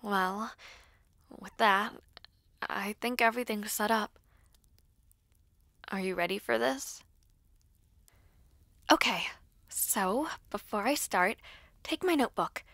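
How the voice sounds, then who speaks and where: Woman doing a nervous teen witchy girl, slow n hesitant, bright voice, talking right to you, audio roleplay. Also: mid-range voice, no room sound. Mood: nervous, preparing. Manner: hesitant, halting, soft-spoken asides. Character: earnest, nervous school-age girl dabbling in ritual spells. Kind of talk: intimate audio roleplay, acted to the listener.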